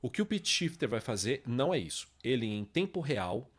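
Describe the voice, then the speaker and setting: Brazilian man giving an informal software tutorial. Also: medium pitch, very animated, moderate pace, breathy edge. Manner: frequent tag questions checking understanding. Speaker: music production tutorial host. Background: guitar samples and music played from software.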